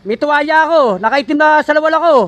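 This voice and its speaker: Very high-pitched cocky man amid heavy noise. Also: quick pace. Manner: taunting, cocky. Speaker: prankster faking a phone call. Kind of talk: loud casual phone talk. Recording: very noisy outdoor street recording.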